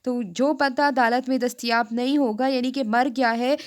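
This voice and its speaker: A woman with a Pakistani accent giving a law lecture, reading statutes aloud and explaining them in a halting, repetitive way. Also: fairly high pitch, steady tone.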